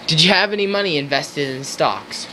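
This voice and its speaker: A man's voice: a little high, moderate pace, bright, forceful tone, a little hiss or hum, rough recording. A young man playing an earnest 1930s radio host, reading scripted interview questions in an amateur skit. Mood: formal. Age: young adult.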